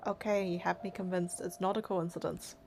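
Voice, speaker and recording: A woman's voice: fairly low pitch, steady tone, a little fast, darkish tone, faint music behind. A woman doing a game playthrough, reading narration and heroine lines aloud and commenting casually.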